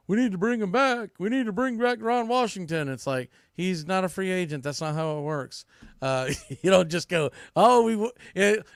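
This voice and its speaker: A man's voice: fairly high pitch, clean tone, dry recording, studio quality. A man hosting a sports podcast solo, giving opinionated baseball commentary in a blunt, colloquial way and repeating phrases for emphasis.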